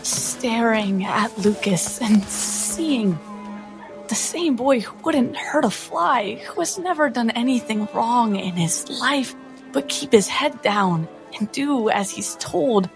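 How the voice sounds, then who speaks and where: Female tabletop player, slow and earnest dramatic narrator, slightly high voice, music underneath. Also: lively intonation. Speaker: player in a tabletop roleplaying game.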